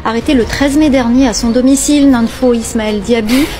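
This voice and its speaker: A woman's voice: fairly high pitch, brisk. A woman narrating a scripted news report.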